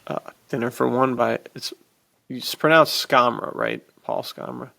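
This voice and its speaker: Gravelly, creaky-voiced man chatting casually. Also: medium pitch, fairly even intonation, measured pace, bright, forceful tone. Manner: laid-back enthusiasm, peppered with "dude". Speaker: podcast co-host. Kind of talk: casual book chat.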